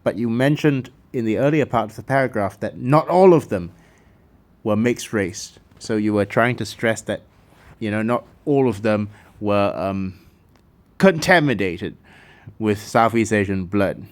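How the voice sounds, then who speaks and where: A man's voice: lowish voice, unhurried, recorded from a distance. A man from Malaysia giving an opinionated video commentary, emphatic and impassioned, with sardonic asides. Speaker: cultural commentator. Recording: echoey room.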